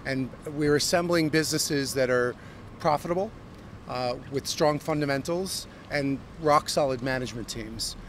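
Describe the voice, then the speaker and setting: A middle-aged American man, a company CEO, giving a fluent corporate pitch with frequent ums and uhs in a street interview. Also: moderate pace. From United States. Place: busy downtown street outdoors. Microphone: handheld mic held by interviewer. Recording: outdoor street with traffic noise. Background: city traffic.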